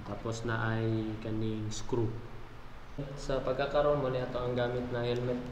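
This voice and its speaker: A man's voice: mid-range voice, level tone, a little slow, a little mellow. A Filipino man with a Visayan accent giving a casual gear demonstration as a vlogger, talking conversationally and checking in with viewers. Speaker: motovlogger presenting his gear. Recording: echoey reverberant room, clear background noise.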